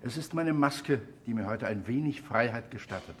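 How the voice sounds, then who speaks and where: A man's voice: medium pitch, expressive, normal speed, a little hiss or hum, lightly acted. A man acting an urbane, wily churchman in a radio drama, with a jovial, ironic manner. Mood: amused, sly. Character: urbane, wily high churchman, teasingly friendly.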